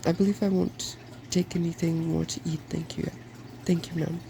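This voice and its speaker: A man voicing a solemn, hopeful, meek dragon in a soft-spoken ASMR storybook reading, earnest and polite. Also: a little high, level tone, moderate pace, gravelly, slight background noise. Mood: miserable, polite.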